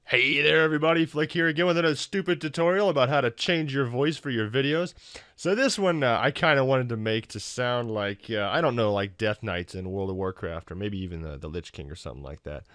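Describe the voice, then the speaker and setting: A man hosting a casual software tutorial in an informal, wry, self-deprecating way. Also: medium pitch, fairly quick, smooth, no room sound, clean studio sound. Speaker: tutorial host.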